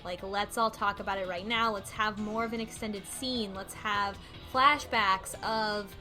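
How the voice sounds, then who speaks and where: A woman's voice: mid-range voice, fairly even intonation, unhurried. A woman talking casually in a group discussion, in an earnest, analytical way. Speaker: book club podcast panelist. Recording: music playing behind the voice.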